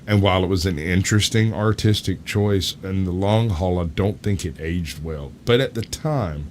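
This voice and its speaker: A man's voice: sits low, moderate pace, darkish tone, slight background noise. A man co-hosting a podcast, chatting casually in a blunt, slangy, joking way. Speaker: podcast co-host reacting to clips. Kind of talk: casual chat.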